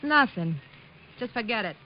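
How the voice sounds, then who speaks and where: Woman acting a scheming young dame in old radio crime show, brash, highish, muffled sound. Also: animated, normal speed, close to an everyday voice. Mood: boastful, sly. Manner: slangy, brash. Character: scheming, brassy, hard-boiled gold-digging young woman. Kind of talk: 1940s-50s radio detective drama acting. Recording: dull lo-fi old radio, faint noise.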